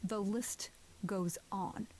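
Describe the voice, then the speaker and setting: Video game goddess: stern leader, weary and solemn, deliberate formal delivery, mid pitch. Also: female voice, steady tone, a little mellow, a little hiss or hum. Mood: solemn, urgent.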